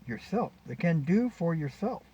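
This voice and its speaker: Smug male scientist, read by a man, suave seducer in storybook dialogue. Animated pitch, mellow tone. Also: normal speed, breathy edge, highs cut off. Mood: boastful. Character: smug, boastful male scientist wooing a passenger. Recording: distant mic with faint background noise.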